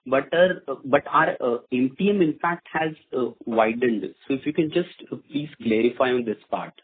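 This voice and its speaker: Husky male analyst on a phone line. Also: mid-range voice, moderate pace. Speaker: financial analyst asking questions. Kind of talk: formal question on an earnings call. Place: conference call. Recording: muffled narrow phone line.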